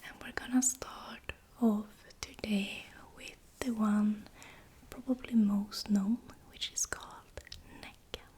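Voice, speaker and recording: A woman from Sweden with a Swedish accent, whispering slow, careful folklore narration for an ASMR video, drifting nearer and farther from the mic. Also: medium pitch, steady tone, mellow. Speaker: ASMR creator.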